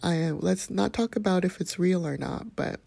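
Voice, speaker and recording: A calm, soft-spoken woman hosting a podcast, sharing a reflective personal monologue in a hushed, whispery way. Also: lowish voice, moderate pace, gravelly, no room sound. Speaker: podcast host.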